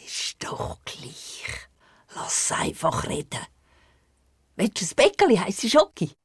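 A woman's voice: medium pitch, wildly swooping pitch, slow pace, husky, dry recording, studio quality, heavily acted. A woman playing a fanciful, feisty old grandmother with a Swiss German accent, telling tall tales bluntly in a children's radio play. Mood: reassuring.

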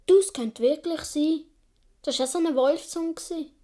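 A woman's voice: sits high, moderate pace, dark tone, lightly acted. A woman playing a talkative, lonely seven-year-old Swiss boy in a radio play, confiding in a childlike way with a Swiss accent. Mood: wondering.

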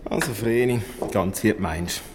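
An actor playing a teasing, mock-gallant boyfriend, reluctant but amorous, in a Swiss German radio comedy. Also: male voice, on the low side, normal speed, lightly acted. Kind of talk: 1970s comedy radio play acting. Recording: radio play with faint noise.

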